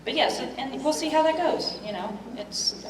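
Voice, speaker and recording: A woman's voice: sits high, lively intonation, normal speed. A woman running a club business meeting, casual with many fillers. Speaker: meeting host. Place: meeting hall. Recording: echoey hall, distant mic, crowd.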